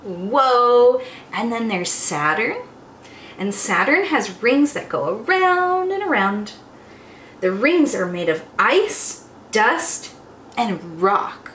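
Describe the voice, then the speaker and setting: High-pitched, animated young woman reading to children. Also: slow pace. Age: young adult. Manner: expressive, exclamatory, child-directed delivery. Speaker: children's storytime teacher and host. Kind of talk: read-aloud storytime for young children. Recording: roomy echo, faint background noise.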